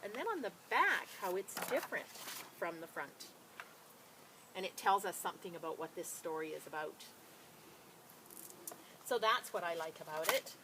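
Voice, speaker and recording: A woman who works as a teacher librarian, talking and reading a picture book to children in an expressive, enthusiastic way. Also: fairly low pitch, slight background noise, rough recording. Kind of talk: children's story read-aloud with casual introduction.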